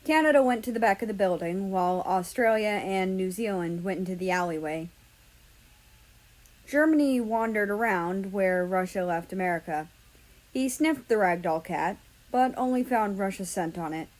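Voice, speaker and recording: A woman's voice: medium pitch, moderate pace, darkish tone, low-quality recording. A woman narrating a story as a fan fiction reader. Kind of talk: storybook-style narration with acted dialogue.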